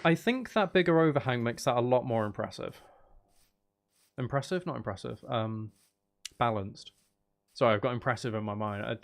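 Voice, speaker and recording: A man's voice: mid-range voice, gravelly. A man giving casual live commentary while streaming a video game, muttering wry, exasperated asides to himself.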